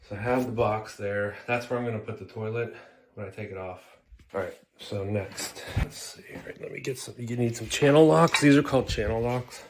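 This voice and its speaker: A man doing a casual how-to vlog as a flooring installer, rambling and correcting himself, sniffly from a cold, filming on a handheld camera. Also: lowish voice. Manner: rambling, self-correcting, chatty asides. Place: indoor bathroom on a job site. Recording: echoey room with noise behind.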